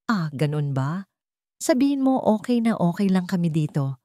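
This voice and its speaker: A woman voicing a young wife and mother in a story, brisk and bossy, with a two-faced temper. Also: lowish voice, very animated, warm tone, no echo, clean studio sound. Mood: cheerful.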